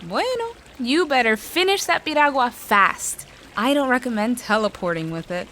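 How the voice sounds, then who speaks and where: An actress playing a calm woman who owns a store and gently guides the younger ones in an audio drama. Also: on the high side, normal speed, a little hiss or hum. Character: warm older woman Josie, store owner.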